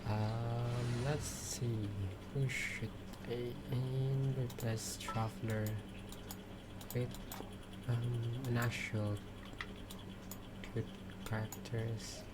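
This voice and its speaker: A man giving casual live gameplay commentary, halting and thinking aloud as he plays. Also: mid-range voice, level tone, heavy background noise. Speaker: game streamer. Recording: loud game music behind voice. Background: game music.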